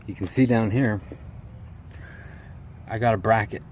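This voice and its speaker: A man's voice: lowish voice, measured pace, a little mellow, a little hiss or hum. A man giving a casual, unscripted walkaround of his vehicle in a folksy, colloquial way with hobbyist slang. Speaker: vlogger showing his vehicle build.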